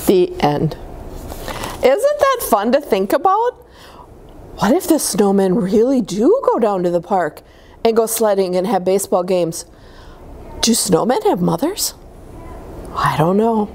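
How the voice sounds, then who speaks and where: A woman reading picture books aloud to young children, with expressive, deliberate phrasing and chatty asides to her listeners. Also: mid-range voice, a little hiss or hum. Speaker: children's story-time reader at a church.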